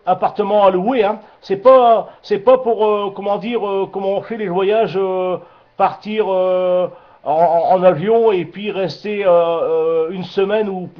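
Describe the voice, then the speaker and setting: Man, high-pitched voice, amateur radio operator, hesitant casual chat, repetitive phrasing, muffled radio transmission. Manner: hesitant, repetitive, searching for words.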